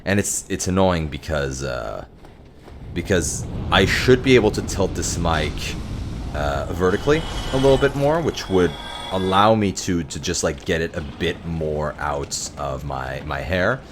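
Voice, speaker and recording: Man, very deep voice, wry and self-mocking, close mic, noisy background. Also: expressive, unhurried. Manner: self-deprecating, wry, muttering curses. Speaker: streamer. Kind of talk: casual gameplay commentary. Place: home office. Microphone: close desk mic.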